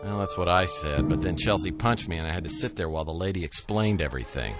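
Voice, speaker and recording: An actor playing a wisecracking, self-pleased private eye in a comic noir radio drama, wry and sarcastic. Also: male voice, low-pitched, fast talker, music in the background, lightly acted. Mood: defensive storytelling.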